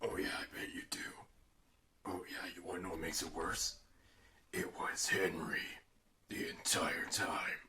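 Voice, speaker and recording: A man voicing a bitter, unhinged undead killer animatronic father telling an ASMR bedtime story, gravelly and theatrical, moving between menacing murmurs and outraged outbursts. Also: low-pitched, steady tone, a little slow, pauses often. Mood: menacing, hushed.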